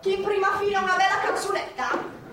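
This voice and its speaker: Theatre role: cheeky, coaxing woman, high-pitched, playful and repetitive, distant noisy recording. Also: a little fast. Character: cheeky, insistent neighbourhood woman teasing and coaxing. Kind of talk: live stage comedy-drama dialogue. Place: indoor theatre stage, distant microphone. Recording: echoey theatre, distant mic, clearly noisy.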